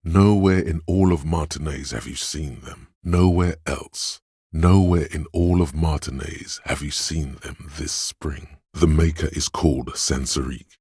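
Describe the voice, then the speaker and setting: A middle-aged man voicing a disembodied male inner sense of sight in a video game, calm and watchful, describing things in a measured, matter-of-fact way. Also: rumbling low, husky, no room sound, studio quality, exaggerated voice. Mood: observant. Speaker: voice actor. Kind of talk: scripted video game voice line.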